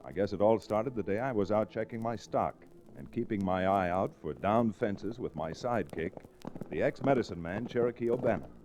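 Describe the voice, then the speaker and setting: A man's voice: fairly low pitch, expressive, moderate pace, noisy background, natural voice. An actor playing a folksy, wry cowtown lawyer and cattleman in a 1940s radio western. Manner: folksy, wry, self-deprecating. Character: easygoing frontier cowtown lawyer and cattleman hero. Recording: restored old radio recording.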